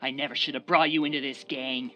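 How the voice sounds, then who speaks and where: A man's voice: mid-range voice, steady tone, quick pace, highs cut off, over loud music, close to an everyday voice. A man playing a ruthless, unhinged gang boss, cornered and bitter, volatile and contemptuous, in a crime radio drama.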